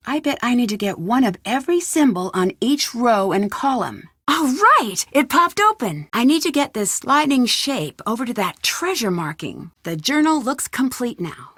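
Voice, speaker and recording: A curious, plucky young woman detective from a video game, muses to herself as she works. Her voice sits fairly high and every word is clearly enunciated. The recording is close and dry, with no room sound. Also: fairly bright tone, dry recording, full character voice. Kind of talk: video game voice acting, thinking aloud.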